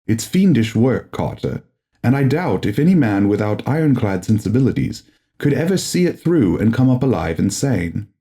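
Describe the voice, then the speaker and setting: A man voicing a calm, courteous but condescending male occult explorer in a gothic horror audiobook, speaking formally and earnestly. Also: on the low side, moderate pace. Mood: cool, insistent.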